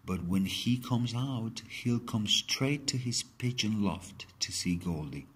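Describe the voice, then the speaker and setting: A man narrating a children's story aloud in an expressive, dramatic way. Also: on the low side, slow pace, a little hiss or hum.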